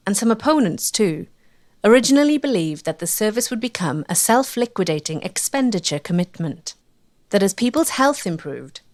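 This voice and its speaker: A woman reading scripted documentary narration as the narrator. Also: medium pitch.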